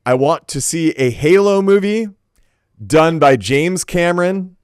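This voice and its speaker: A man's voice: a little high, expressive, measured pace, smooth, dry recording, studio quality. A man co-hosting a podcast, chatting casually in an informal, emphatic way. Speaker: podcast co-host.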